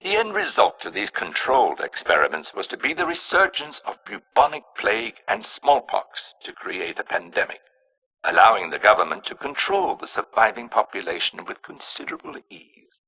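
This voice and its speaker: Low, raspy, arrogant mad scientist. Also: male voice, sing-song, moderate pace, pushed and bright, exaggerated voice, rough recording. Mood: clinical, detached. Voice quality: raspy, hard-edged. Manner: clinical, precise, self-important. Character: cold, arrogant male scientist engineering plagues and alien pathogens. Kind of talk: scripted video game villain narration.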